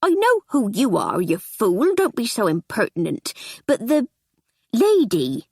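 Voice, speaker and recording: A woman voicing a stiff, sharp-tongued middle-aged housekeeper with a prim, haughty manner. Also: fairly high pitch, wildly swooping pitch, unhurried, breathy edge, no echo, clean studio sound, pitched above the performer's own voice, full character voice.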